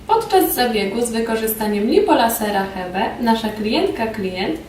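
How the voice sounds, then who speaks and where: Female instructor, roomy and echoey sound, formal scripted training narration, measured delivery. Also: on the high side, expressive, darkish tone. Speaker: instructor presenting a beauty device training film. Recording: roomy echo, distant mic, background noise.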